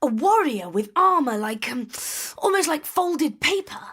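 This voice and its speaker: High, lively young adventuress. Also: female voice, unhurried, exaggerated voice. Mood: alarmed, questioning. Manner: inquisitive, pert. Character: plucky, questioning young woman adventurer. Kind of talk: audio drama acting.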